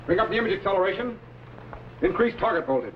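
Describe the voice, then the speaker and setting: A man acting a commanding time-travelling captain in an old sci-fi serial, giving clipped, steady orders on a dated recording. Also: high-pitched, fast talker, a little hiss or hum. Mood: steady, urgent. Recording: dull old broadcast recording with loud music.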